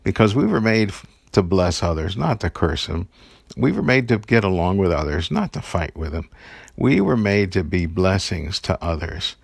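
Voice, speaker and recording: A man with a low, gravelly voice gives an inspirational talk, earnest and encouraging. He tells personal stories and breaks into the odd chuckle. The recording is close and dry, with no room sound. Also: sing-song, normal speed, no echo. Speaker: podcast host giving daily encouragement.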